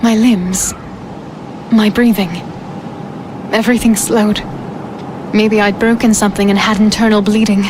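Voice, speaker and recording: A woman's voice: medium pitch, level tone, a little slow, pauses often, background noise, lightly acted. A woman playing a defiant human fighter, telling her ordeal in first person as dramatic fantasy storytelling. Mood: uneasy, reflective. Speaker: narrator and heroine.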